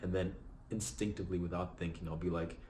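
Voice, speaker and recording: Low-voiced man, tired and a bit raspy. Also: quick pace, slight background noise. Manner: relaxed, reflective, keeps adding "right?". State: low energy, slightly raspy after a long night. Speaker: vlog host. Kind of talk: casual motivational vlog talk.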